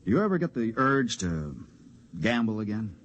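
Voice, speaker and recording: An actor playing a gloomy, loyal middle-aged space veteran, gruff and plain-spoken, in 1950s radio drama style. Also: male voice, mid-range voice, big pitch swings, moderate pace. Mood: quiet, concerned. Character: gloomy, loyal alien space-service veteran, bitter toward Earthmen. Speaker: character and narrator. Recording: dull, band-limited old radio recording.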